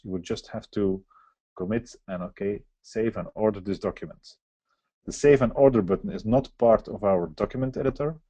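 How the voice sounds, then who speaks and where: Low-voiced man demoing software. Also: breathy edge, dry recording. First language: Dutch. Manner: explanatory, walks through on-screen steps. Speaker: software presenter. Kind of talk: live software demo webinar.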